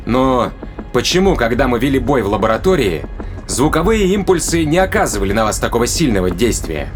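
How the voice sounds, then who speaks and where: A man's voice: medium pitch, very animated, fairly quick, soft background music, close to an everyday voice. A man playing a tough, sardonic spaceship crewman in an audio drama, questioning a captive in pointed, colloquial speech. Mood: cold, threatening.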